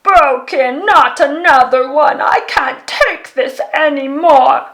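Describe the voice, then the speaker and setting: Woman voicing a loud, lamenting big clumsy Yeti. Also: sits high, slow pace, pitched above the performer's own voice. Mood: anguished. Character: big, clumsy, despairing yet grateful male Yeti. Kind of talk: children's picture book read aloud.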